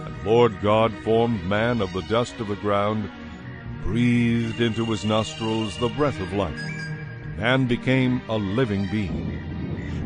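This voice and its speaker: Solemn guy narrator, lowish voice, measured bible storytelling w music behind. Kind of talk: dramatized scripture narration.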